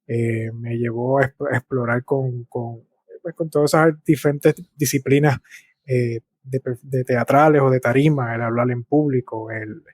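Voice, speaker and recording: A man's voice: medium pitch, steady tone, normal speed, darkish tone, no echo. A man from Puerto Rico with a Puerto Rican accent, talking reflectively and conversationally as an interview guest. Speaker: interview guest, speaker and artist.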